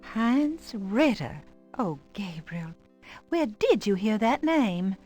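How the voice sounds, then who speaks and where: A woman playing a kindly, wistful old grandmother in an adventure game, with a gentle aged voice and fond, expressive delivery. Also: medium pitch, slow. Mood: uneasy, puzzled. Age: older. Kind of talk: voice acting in a 1990s adventure game. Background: game music.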